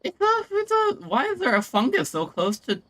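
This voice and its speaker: A woman's voice: high voice, wildly swooping pitch, moderate pace, a bit bright, slight background noise. A woman game streamer giving casual playthrough commentary, reading along and reacting.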